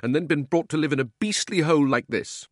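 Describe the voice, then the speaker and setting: A man's voice: lowish voice, big pitch swings, a little fast, no room sound, studio quality. A man voicing a miserable, defiant young country boy in a storybook, wound-up and emphatic. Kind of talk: storybook character reading.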